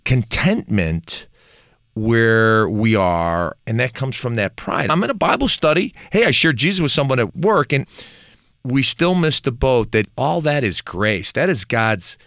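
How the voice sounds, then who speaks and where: A man whose first language is Turkish, a ministry leader speaking earnestly and with self-deprecating humor in a relaxed conversation about faith. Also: mid-range voice, a little slow, dry recording, clean studio sound. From Turkey. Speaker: ministry leader, podcast guest.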